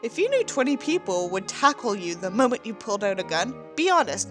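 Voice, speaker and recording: Female reader, theatrical and sarcastic, very animated pitch swings, mocking asides, music underneath. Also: brisk. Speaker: host reading a book aloud with asides. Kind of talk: sarcastic dramatic reading with commentary.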